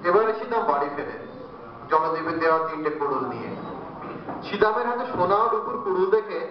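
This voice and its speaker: A man's voice: sits high, animated, brisk. A man narrating a scripted folk tale as a storyteller. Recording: very echoey, distant, dull, noisy.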